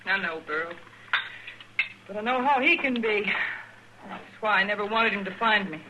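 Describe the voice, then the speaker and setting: A woman's voice: medium pitch, expressive, moderate pace, natural voice. An actress playing a defiant, fearful runaway saloon girl in a 1950s radio western, bitter and resolute, with an American accent. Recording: old radio with faint noise.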